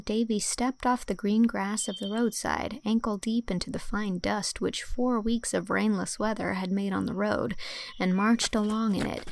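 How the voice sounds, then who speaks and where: Creaky-voiced woman narrating a storybook. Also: mid-range voice, a little mellow, slight background noise. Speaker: audiobook narrator. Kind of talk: scripted dramatic storybook narration.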